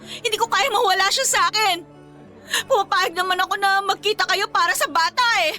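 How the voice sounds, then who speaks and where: A woman's voice: very high voice, fairly quick, bright, forceful tone, soft background music. An actress with a Filipino accent playing a jealous, confrontational young woman in an emotional radio romance drama. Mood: tearful pleading. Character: jealous, fiery young woman, betrayed girlfriend.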